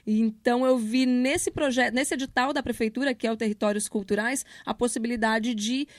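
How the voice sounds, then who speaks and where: A woman's voice: a little high, steady tone, brisk, no echo, studio quality. A Brazilian woman giving casual interview answers, explaining things in an informal, slangy way as a project leader and teacher.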